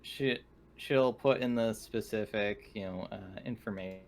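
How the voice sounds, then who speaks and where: A man's voice: lowish voice, measured pace, gravelly. A man playing a tabletop roleplaying game, chatting casually and searching for his words.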